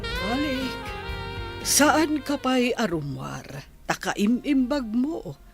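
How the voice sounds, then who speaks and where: A woman playing a concerned, gently scolding mother in a radio drama. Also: on the high side, very animated, drawn-out words, gravelly, slightly rough, soft background music, full character voice.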